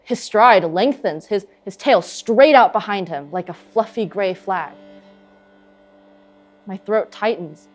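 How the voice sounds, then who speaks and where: An actress playing a young, proud eagle huntress in an earnest, intense dramatic monologue. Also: female voice, medium pitch, slow pace. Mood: hushed, tender. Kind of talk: dramatic stage monologue, vivid storytelling.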